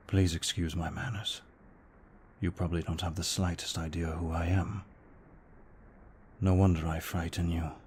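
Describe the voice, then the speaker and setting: A man as a very deep, slow-spoken courteous vampire. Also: slight background noise. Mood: apologetic, courteous. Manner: formal, polite, hushed and measured. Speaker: voice actor in an audio roleplay. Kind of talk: intimate ASMR roleplay acting.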